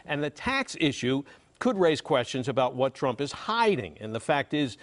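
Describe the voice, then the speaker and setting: A man's voice: mid-range voice, very animated, moderate pace. An American man working as a television political reporter, delivering a news report. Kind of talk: scripted news report with some live studio talk.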